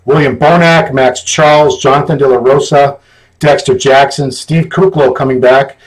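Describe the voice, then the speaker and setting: A man hosting a casual podcast, chatting in a relaxed, joking way. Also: mid-range voice, moderate pace, rough recording. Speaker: host.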